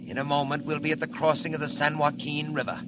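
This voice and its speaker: An actor playing a suave, menacing, condescending crime boss in the style of a 1940s radio serial. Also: male voice, a little high, little pitch movement, fast, close to an everyday voice. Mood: smug, explanatory. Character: cold, scheming male gang boss called the Wolf. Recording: noisy vintage radio recording.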